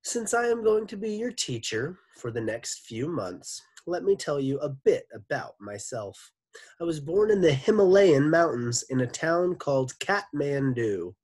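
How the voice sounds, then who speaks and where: A man voicing a smooth-talking male teacher with a pleasant, over-polite manner. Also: fairly high pitch, very animated, unhurried, a little mellow, pitched above the performer's own voice, full character voice.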